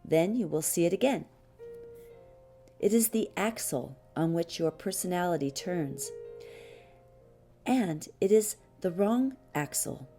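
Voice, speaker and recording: Mellow, lower-voiced woman reading aloud. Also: animated. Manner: deliberate, with frequent pauses between short phrases. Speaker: audiobook reader. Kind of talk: measured reading of a spiritual teaching text. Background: music behind the voice.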